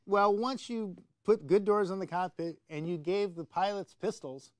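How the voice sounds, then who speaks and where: A man's voice: sits high, moderate pace, no echo. An American man co-hosting a political talk show, insistent and often repeating his words as he argues. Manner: insistent, stammering repetition of phrases. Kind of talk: opinionated political discussion.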